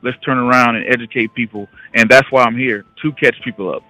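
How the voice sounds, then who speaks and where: A man's voice: medium pitch, fairly even intonation, a little fast, pushed and bright, no echo, faint music behind, low-quality recording. A man talking casually and in a rambling way as a guest investing educator on a conference call. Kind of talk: casual conversational talk.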